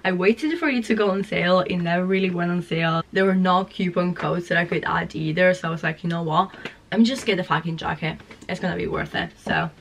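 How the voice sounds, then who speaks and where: A young woman chatting casually to camera in her flat while recovering from a cold, hoarse and gushing. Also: on the low side, fairly quick, slight background noise. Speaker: vlogger. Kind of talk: casual vlog chat. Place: small flat, indoors.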